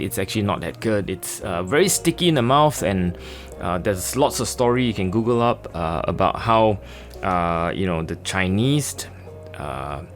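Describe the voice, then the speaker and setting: A middle-aged man from Malaysia, talking casually through a sketching and watercolor tutorial with many fillers and pauses. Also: deep voice. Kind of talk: relaxed tutorial narration.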